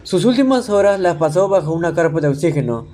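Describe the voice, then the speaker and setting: Slightly high-voiced man narrating a biography. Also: expressive, quick pace, warm tone. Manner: reading aloud with occasional stumbles. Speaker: narrator in a student radio drama.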